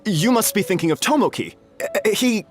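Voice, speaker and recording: A man's voice: high voice, expressive, moderate pace, soft background music. A man voicing a nervous, fawning young festival food vendor in a video game, flustered, stammering and deferential.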